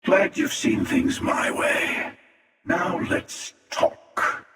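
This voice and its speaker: An actor playing a menacing, sardonic, world-weary armored warrior in a video game, with a gravelly, taunting, unhurried delivery. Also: male voice, bright, forceful tone, shouting. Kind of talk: video game character voice lines. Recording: cavernous long reverb, distant.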